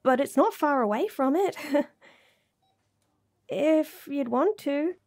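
Shy, flustered bunny girl, played by a woman, mellow high voice. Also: lively intonation, slow, full character voice. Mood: bashful, smitten. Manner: stammering, giggly, bashful rambling. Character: shy, flustered young female bunny girl, smitten and gushing. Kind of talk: romantic audio roleplay acting.

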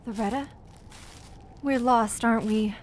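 An actress playing a frightened young bank teller on the run in a period suspense audio drama, hesitant and fretful. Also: female voice, a little high, a little slow, a little mellow, a little hiss or hum, natural voice. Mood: uneasy.

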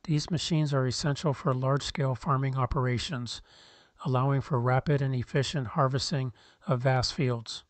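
A man narrates a scripted countdown with a steady, measured explainer delivery. His voice sits at an ordinary middle pitch and is recorded close and completely dry, with no room sound. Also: no echo. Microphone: voice-over, close mic.

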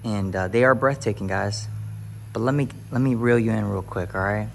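Casual tour-guide energy from a guy with a fairly low voice, calling everyone y'all and getting more and more fired up, recorded on a phone with faint room hum behind him. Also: normal speed, creaky. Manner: upbeat, hyped, talks to viewers as y'all. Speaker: realtor touring a house. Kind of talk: casual house-tour vlog turning to preaching. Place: empty new house, then its covered back patio.

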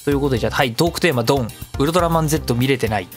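A man's voice: mid-range voice, very fast, over music. A man hosting a casual solo web radio show in speech, chatty and given to acting out imagined remarks. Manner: talkative, acts out imagined remarks mid-talk.

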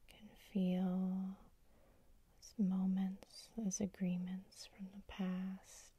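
A woman whispering softly as the host of an ASMR sleep hypnosis session, guiding the listener in a slow, soothing way. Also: mid-range voice, completely flat delivery, slightly rough. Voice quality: soft whisper.

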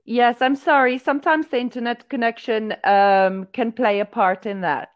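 A woman's voice: a little high, fairly even intonation, normal speed. A young woman from Africa, tutoring English on a video call and patiently asking simple questions through a headset. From South Africa. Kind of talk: casual video-call conversation practice. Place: indoor room. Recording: muffled video-call audio.

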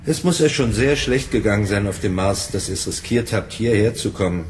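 A man's voice: on the low side, a little fast, a little hiss or hum, lightly acted. A man acting a naive, kindly, timid loner in a radio drama, earnest and hesitant. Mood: earnest, gentle.

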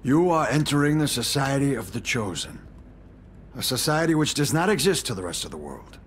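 An actor playing a middle-aged mafia don in a game cutscene, speaking formally, with ceremonial gravity. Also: male voice, mid-range voice, very animated, a little fast, a little hiss or hum, natural voice. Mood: solemn, menacing.

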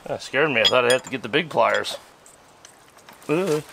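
A man's voice: mid-range voice, expressive, normal speed, creaky, bright, forceful tone, a little hiss or hum. A man hosting a vlog, chatting casually and joking as he works on an old tractor outdoors, sometimes muttering to himself. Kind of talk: casual how-to narration while working. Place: outdoors on a farm.

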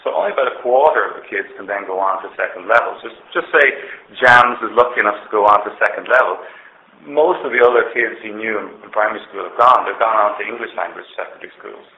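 A man's voice: on the low side, quick pace. A man lecturing on sociology, explaining his argument step by step and often correcting himself mid-sentence. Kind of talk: university lecture. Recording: roomy, dull lecture hall recording with faint hiss.

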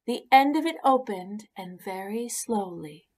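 Woman, children's read-aloud, storybook narration, very unhurried, expressive, deliberate. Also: mid-range voice, slight background noise.

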